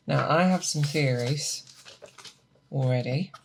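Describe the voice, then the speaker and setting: Performer acting monster hunter mentor, ASMR roleplay, slow-paced, methodical, patient, deliberate, gently questioning. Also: mid-range voice, gravelly, slight background noise. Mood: calm, focused. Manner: unhurried, deliberate, coaxing with questions. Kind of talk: ASMR roleplay, scripted character monologue.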